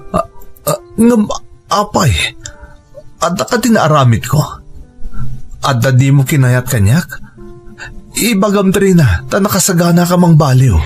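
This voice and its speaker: A man's voice: fairly high pitch, big pitch swings, unhurried, long pauses, soft background music. A man playing a poor, devoted boyfriend in a Filipino audio drama, bewildered and pleading, with stammering questions. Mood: bewildered, hurt.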